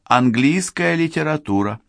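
Playful young woman magician, lifted pitch and a lively, teasing lilt, as a male reader puts her on. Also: moderate pace, gravelly, no room sound, studio quality.

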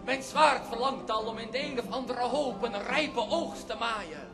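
An actor playing a bloodthirsty warrior eager for the sword, declaiming commanding verse in a radio tragedy. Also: male voice, very high-pitched, unhurried, music in the background. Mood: fierce eagerness.

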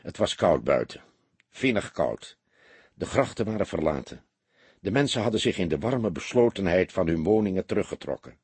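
A man's voice: sits low, very animated, moderate pace, a little mellow, husky, dry recording. A man reading a detective novel aloud as dramatic scripted narration. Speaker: audiobook narrator.

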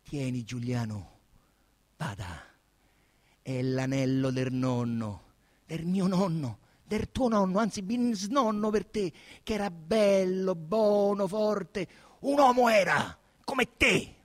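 A man's voice: high-pitched, slow pace. A male actor voicing an affectionate, insistent Roman woman coaxing her younger cousin, with a Roman accent, in a theatrical radio drama. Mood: tender, solemn. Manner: coaxing, emphatic.

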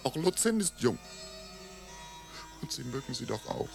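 A young, hot-headed Englishman in a radio play. His pitch swings widely as he goes from hushed, scornful remarks to pleading outbursts. He is impatient, passionate and emphatic, with faint music around him. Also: very animated, measured pace, gravelly. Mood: earnest, confessing. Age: young adult. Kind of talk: radio drama acting.

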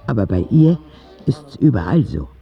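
A man's voice: medium pitch, very animated, moderate pace, vocal fry, muffled recording, soft background music. A man playing a candid, eager young boy who narrates his story confidingly in a radio drama. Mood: candid, excited.

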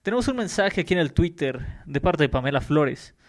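A man's voice: fairly high pitch, expressive, a little fast. A young man hosting a podcast in a radio-show manner, reading out listener questions. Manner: upbeat, reads listener questions aloud. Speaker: podcast host. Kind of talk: scripted radio-style hosting.

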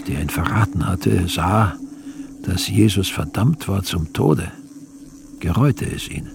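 An actor as a grave gospel narrator in a radio drama, telling the story in a measured way. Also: male voice, low voice, vocal fry, noisy background, full character voice.

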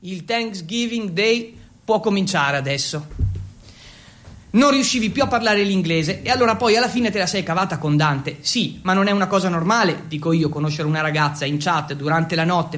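A man's voice: sits high, a bit bright. A man with an Italian accent playing an awkward, feverish teenage boy in a theatrical first-person monologue. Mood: agitated. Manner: halting and fumbling when speaking English.

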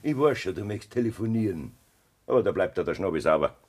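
An actor with a raspy voice and Bavarian accent playing a cold, threatening farmer who bullies his family in a radio drama. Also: low voice, lively intonation, fast talker, a little mellow. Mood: menacing, taunting. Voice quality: raspy, gravelly. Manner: taunting, threatening. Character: cold, menacing farmer bullying his wife and mother. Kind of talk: German dialect radio drama acting.

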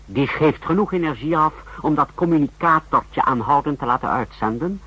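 An actor playing a hard, ruthless secret-service subordinate in a 1960s radio drama, reporting in a clipped, matter-of-fact manner. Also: male voice, on the high side, normal speed, sharp tone, slightly rough. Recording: dull 1960s radio recording.

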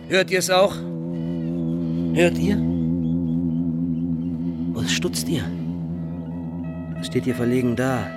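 An actor playing a proud, defiant robber captain in a German radio drama, with impassioned rhetorical delivery. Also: male voice, mid-range voice, sing-song, slow pace, long pauses. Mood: challenging. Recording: loud music behind the voice.

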